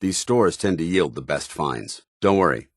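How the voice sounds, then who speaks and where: An actor playing a stern, disciplined armored soldier in a video game, speaking in formal, clipped military phrases. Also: male voice, sits low, animated, normal speed, no room sound, studio quality. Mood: wary. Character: stern, dutiful armored soldier paladin, grown man, devout and disciplined. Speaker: companion character. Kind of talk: scripted video game dialogue.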